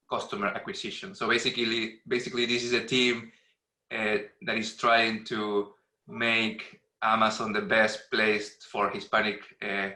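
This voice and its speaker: Very bright, even-toned man with Peruvian accent. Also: lowish voice, low-quality recording. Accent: Peruvian Spanish. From Peru. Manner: halting, repeats words. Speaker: panelist introducing himself. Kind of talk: professional self-introduction.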